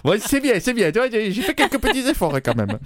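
A man's voice: high-pitched, huge pitch swings, fast talker, no room sound, clean studio sound. A man voicing a brash, mannish woman from Toulouse, a former rugby player, with a southern French accent, bawdy and punning, chuckling at his own jokes in a radio comedy sketch. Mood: cheeky.

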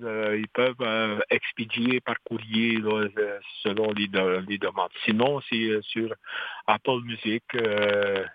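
A man, a singer-songwriter guest on a radio interview, talking haltingly with many restarts and fillers. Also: fairly low pitch, flat delivery. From Quebec. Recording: tinny phone line with faint hiss.

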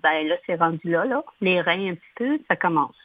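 A woman in her sixties, a native English speaker from Ottawa, speaking French as a guest with a slow, halting manner and frequent throat clearing. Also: on the low side, animated, dry recording. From Ottawa, Canada. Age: 60s. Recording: tinny phone line.

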